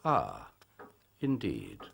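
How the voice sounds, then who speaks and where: An actor playing a stern, disapproving Victorian medical professor in a period drama, with an English accent and pompous, clipped speech. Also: male voice, rumbling low, wildly swooping pitch, extremely slow, gravelly, a bit bright, husky, heavily acted. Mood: irritated, guarded. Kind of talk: period radio drama dialogue. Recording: faint background noise.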